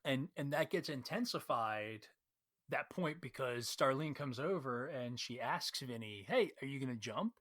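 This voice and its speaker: A man giving a casual, conversational recap of a story as a read-along host, retelling it in an animated way. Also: mid-range voice, a little slow.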